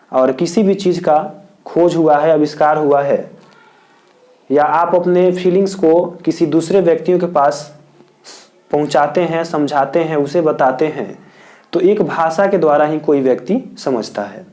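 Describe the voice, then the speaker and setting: A man from India teaching as a tutor, dictating answers slowly and repeating each point in several wordings. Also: on the high side, dark tone. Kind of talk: exam answer lesson.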